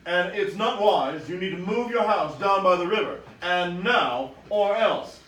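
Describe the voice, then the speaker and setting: Man as a pompous eagle, slow, booming, proud, echoey room. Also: lively intonation, a bit bright, distant mic, a little hiss or hum.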